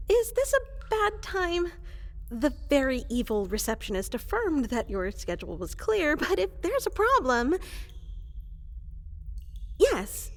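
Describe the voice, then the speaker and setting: A woman's voice: high-pitched, huge pitch swings, slow, pauses often, exaggerated voice. A woman voicing a small, fluffy, eager monster girl in a fantasy comedy roleplay, stammering, apologetic and overly formal. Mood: flustered, apologetic. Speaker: applicant for evil advisor post. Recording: reverberant large hall.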